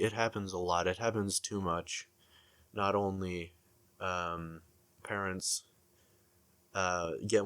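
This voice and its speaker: Male commentator, low voice, slow and halting, thinking aloud in an unscripted reflection. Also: dry recording. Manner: halting, searching for words, repeats himself. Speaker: video essay commentator.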